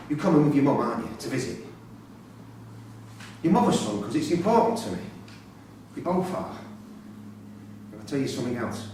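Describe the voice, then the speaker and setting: An actor in a staged reading playing an imprisoned father, pleading and insistent, quick to swear and anger. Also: mid-range voice, darkish tone, lightly acted. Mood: friendly, anxious. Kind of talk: live staged play reading. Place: theatre-pub performance space, recorded from a distance. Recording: roomy far-off stage recording, faint noise.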